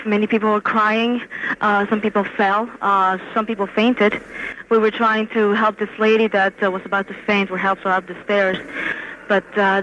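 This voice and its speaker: A woman's voice: medium pitch, fairly bright tone. A woman giving an eyewitness account in plain words, on an old radio news clip. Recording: dull, slightly noisy archive radio clip.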